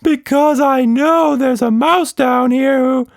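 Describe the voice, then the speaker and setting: A man voicing an excitable, exclamatory male magical monster with many arms, in a children's story. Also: very high-pitched, takes it slowly, creaky, no room sound, studio quality, voice pushed up, full character voice. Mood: exasperated. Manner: enthusiastic, exclamatory.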